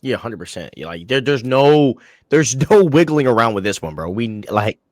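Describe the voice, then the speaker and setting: A man's voice: medium pitch, very animated, normal speed, dry recording, studio quality. A young man co-hosting a podcast, talking casually in slang-heavy, rambling bursts. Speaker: podcast co-host.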